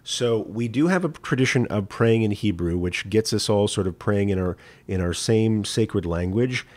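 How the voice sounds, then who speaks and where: A man's voice: on the low side, moderate pace, a little mellow. A man, a rabbi, answering viewers' questions on a live stream in a conversational teaching manner, often checking in with "right?". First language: English. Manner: conversational teaching, frequent "right?" asides and restarts.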